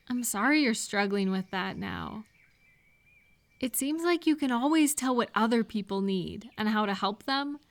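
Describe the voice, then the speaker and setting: A woman plays a supportive female friend in a casual, intimate audio roleplay. Her voice sits a little high and she speaks slowly. She is gentle and reassuring, talking straight to the listener. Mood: tender, encouraging.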